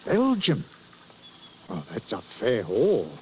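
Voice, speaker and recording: An actor playing a blunt, brusque fishing-boat captain and uncle, in 1940s radio acting. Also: male voice, mid-range voice, wildly swooping pitch, slow. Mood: wary. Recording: dull old radio recording with hiss.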